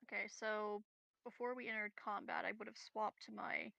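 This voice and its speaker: Bright, creaky-voiced young-sounding woman gamer. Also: medium pitch, steady tone, moderate pace, dry recording. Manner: giggly, hesitant. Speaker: tabletop game player. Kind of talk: casual game chat.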